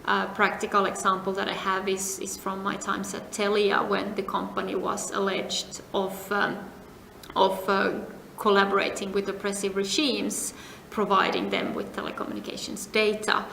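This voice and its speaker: Middle-aged woman from Finland, measured interview answers, slightly gravelly tone, recorded in an echoey room. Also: medium pitch, monotone, bright, forceful tone. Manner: measured, frequent "uh" pauses, trailing off to a near whisper. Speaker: interviewee, compliance advisor. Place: seminar venue. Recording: echoey room, faint noise.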